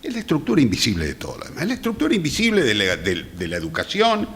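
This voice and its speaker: Argentine man, animated pitch, reverberant hall. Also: very fast. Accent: Argentine Rioplatense. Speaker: panel guest. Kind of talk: reflective panel conversation. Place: theatre, speaking on stage.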